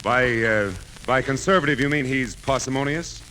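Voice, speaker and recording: A man's voice: mid-range voice, normal speed, sharp tone, a little hiss or hum, lightly acted, poor audio. An actor playing a polite, erudite salesman with formal, precise speech in vintage radio comedy style on an old recording. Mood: courteous, prim. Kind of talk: 1940s-50s radio comedy acting.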